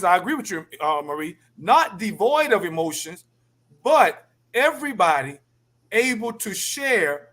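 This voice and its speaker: A man moderating a panel discussion, addressing the guests directly in an animated way. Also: high voice.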